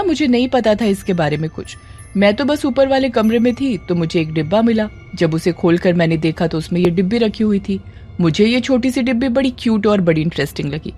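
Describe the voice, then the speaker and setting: A woman's voice: on the high side, dark tone, voice pushed up. A woman voicing an evasive young woman who feigns innocence in an audio horror drama, breezy and defensive in manner. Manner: nervous when cornered, airily dismissive, over-explaining.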